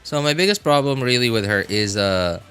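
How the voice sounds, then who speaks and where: Bright-voiced young-sounding man rambling on stream. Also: mid-range voice, lively intonation, moderate pace, clean tone, soft background music. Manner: rambling, self-deprecating. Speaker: live game streamer. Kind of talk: casual livestream chat while playing a video game.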